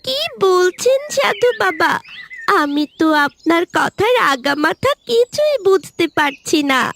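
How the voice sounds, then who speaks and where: A woman's voice: very high-pitched, sing-song, unhurried, creaky, fairly bright tone, exaggerated voice. A woman voicing a friendly, devoted talking tree in a fairy-tale cartoon, childlike, eager and caring. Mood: surprised.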